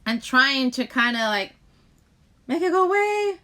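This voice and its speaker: Woman livestreamer w a pretty high, really bright voice, rambly casual chat, keeps trailing off n restarting. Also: big pitch swings. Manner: halting, wandering, often breaks off mid-sentence. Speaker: live stream host chatting with viewers.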